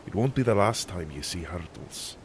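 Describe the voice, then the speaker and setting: A man voicing a stern, sardonic older sailor with a deep accented voice and a curt, scolding manner in a fantasy audiobook. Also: expressive, normal speed, slight background noise. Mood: gruff, dry. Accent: deep put-on accent. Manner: curt, chiding, dry.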